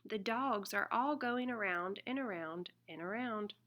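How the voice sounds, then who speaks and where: A woman reading a children's picture book aloud in an expressive, deliberate way. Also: medium pitch, slight background noise. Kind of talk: read-aloud children's storybook narration.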